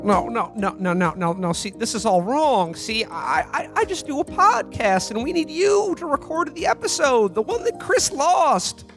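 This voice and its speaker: A man's voice: extremely high pitch, very animated, moderate pace, few pauses, a bit bright, faint music behind, full character voice. A man playing a frantic, pleading character who stammers and repeats himself in a radio play parody. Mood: frantic disbelief. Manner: stammering, breathless repetition, pleading. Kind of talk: old-time radio play melodrama parody.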